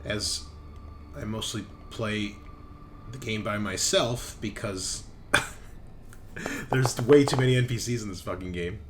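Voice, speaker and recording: A man's voice: lowish voice, slow, long pauses, background noise. A man running a tabletop game as game master, casually narrating and thinking aloud with informal, sweary phrasing. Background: game background music.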